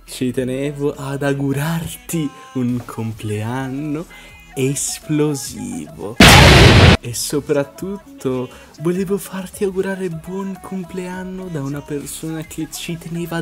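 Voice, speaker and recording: A man's voice: a little high, a little mellow. A man recording a theatrical birthday greeting.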